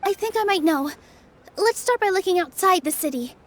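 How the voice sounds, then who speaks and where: A woman voicing a gentle, earnest young heroine with a soft, girlish voice in a video game. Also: very high-pitched, lively intonation, moderate pace, noisy background. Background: faint game music.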